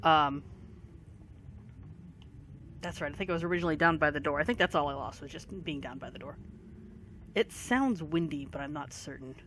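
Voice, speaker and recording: A tired gaming video creator, short on sleep and fighting allergies, giving a casual rambling commentary full of pauses. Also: medium pitch, a bit bright, a little hiss or hum. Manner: rambling, many pauses and fillers. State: voice affected by allergies.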